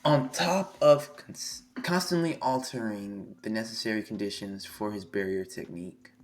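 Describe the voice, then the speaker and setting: A hoarse young man on a live stream, reading a manga chapter aloud and reacting excitably, swearing freely. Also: on the low side, moderate pace. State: hoarse, losing his voice. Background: faint music.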